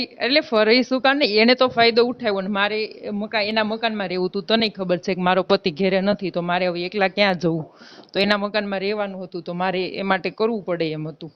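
A woman's voice: medium pitch, smooth, dry recording, natural voice. A woman playing an aggrieved married village woman in a phone-call drama, with a Gujarati accent, insistently accusing and threatening her lover. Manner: insistent, reproachful, argumentative. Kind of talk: staged phone-call drama in colloquial speech. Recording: dull, lo-fi phone call recording.

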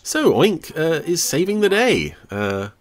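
A man's voice: a little high, sing-song, measured pace. A man giving casual, wry, jokey commentary on a TV episode as a podcast host.

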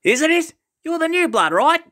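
A woman voicing gruff, theatrical male comic characters, bossy and easily exasperated. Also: very high voice, very animated, normal speed, fairly bright tone, exaggerated voice. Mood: smug swagger. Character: gruff, exasperated father; swaggering teenage bully boy. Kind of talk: comic fandub acting.

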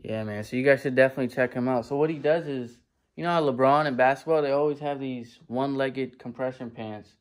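A man in his twenties vlogging casually to a phone at arm's length while he works in a basement print shop, talking in a hurried, chatty way. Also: mid-range voice. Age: 20s. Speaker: screen printing business owner. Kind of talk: casual vlog to camera.

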